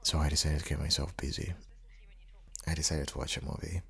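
A man playing an affectionate, playfully teasing young dad and husband in an intimate ASMR audio roleplay, speaking softly and often dropping into whispers. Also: flat delivery, heavily acted. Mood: tender. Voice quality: very deep, husky, gravelly, breathy. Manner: soft, unhurried, often slipping into whispers.

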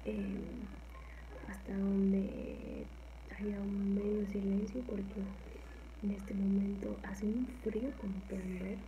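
Soft-spoken Mexican woman, gravelly low murmur. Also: little pitch movement, slow pace, highs cut off, a little hiss or hum. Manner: rambling, soft, often drops to a whisper. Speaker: ASMR channel host. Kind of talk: casual soft-spoken chat. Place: small home kitchen, indoors.

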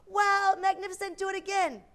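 A woman voicing a clever young queen who flatters a dragon in a storybook. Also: high voice, moderate pace. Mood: gushing.

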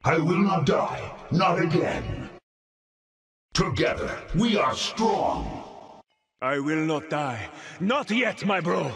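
An actor playing a gallant, defiant armored knight in a video game, with grandiose, declamatory battle cries. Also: male voice, a little high, very animated, slow pace, gravelly, fairly bright tone, slightly rough, soft background music, exaggerated voice.

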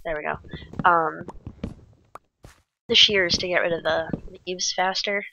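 A woman's voice: on the low side, steady tone, unhurried, creaky, pushed and bright, dull audio, a little hiss or hum. A woman with a stuffy, congested nose, rambling casually with lots of ums while commenting on her gameplay. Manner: rambling, many ums and restarts, chatty. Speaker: Minecraft let's play creator.

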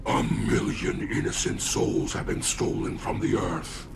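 An actor playing a grim demonic spirit of vengeance in a video game, rasping out solemn, menacing lines. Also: male voice, measured pace, heavy background noise, lightly acted. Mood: grim, accusing. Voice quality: gravelly, rasping. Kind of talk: video game cutscene acting. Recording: music behind the voice.

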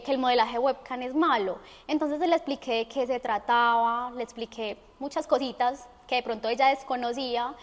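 A young woman with a Colombian accent answering interview questions conversationally in a studio. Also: sits high. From Armenia, Colombia. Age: young adult. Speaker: interview guest. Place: indoor studio set. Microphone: lapel mic. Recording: reverberant studio room.

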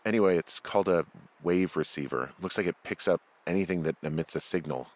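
An actor with an American accent playing a wary, level-headed fire lookout in a video game, hesitant and conversational. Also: male voice, sits low, fairly quick, close to an everyday voice. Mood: matter-of-fact. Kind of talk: naturalistic video game dialogue over walkie-talkie.

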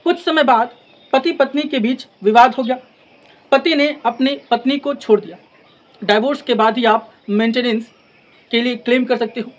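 A man teaches in a notably high voice. He lectures deliberately, phrase by phrase, mixing in English legal terms and repeating points for emphasis. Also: steady tone. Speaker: law lecturer. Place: in front of a classroom blackboard. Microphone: lapel mic.

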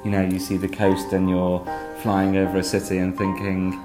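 A man speaking earnestly in a documentary interview, reflective and halting with many ums. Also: low-pitched, monotone, music in the background. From Britain. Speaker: emigrating husband.

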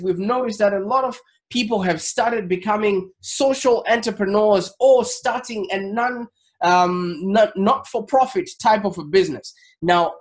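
A man in his thirties presenting an online interview show in an enthusiastic, wordy and emphatic way. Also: high voice. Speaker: show host. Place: small home office, indoors. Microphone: desk microphone.

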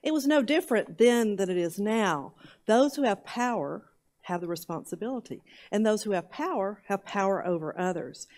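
A woman's voice: medium pitch, a little mellow. Earnest older woman co-host. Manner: earnest, emphatic points. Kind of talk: bible study conversation. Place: church studio set, indoors.

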